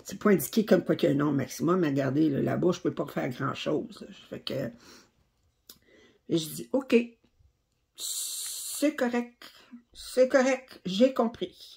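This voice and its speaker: A woman's voice: deep voice, animated, a little slow, vocal fry, a little mellow, husky. A middle-aged woman with a Québécois French accent, hosting a craft channel and chatting casually to camera with many fillers and restarts. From Quebec, Canada.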